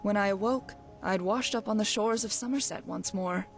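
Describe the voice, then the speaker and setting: A woman's voice: mid-range voice, moderate pace, lightly acted. Woman acting wry, cocky fantasy thief, music behind. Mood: wry, matter-of-fact. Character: brash, wry female thief, undying adventurer. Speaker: companion character in a fantasy game. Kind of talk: voiced game dialogue.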